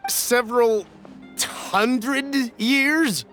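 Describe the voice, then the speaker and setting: A man's voice: very high voice, drawn-out words, sharp tone, faint music behind, exaggerated voice. An actor playing a cautious, deferential young male buyer in a video game, speaking in an anxious, earnest, flustered way. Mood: worried, doubtful.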